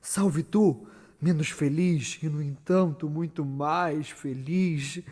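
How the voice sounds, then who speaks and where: A man's voice: a little high, fairly even intonation. A Brazilian man playing eerie, prophesying witches in a declamatory, incantatory theatrical Shakespeare monologue. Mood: solemn prophecy. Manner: declamatory, drawn-out, swinging from hush to loud chant. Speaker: stage actor. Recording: long reverberant hall echo.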